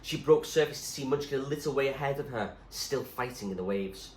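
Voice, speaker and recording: A man reading a story aloud in an expressive, suspenseful narrating style. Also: mid-range voice, fairly quick. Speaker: reader, teacher. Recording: echoey room with faint background noise.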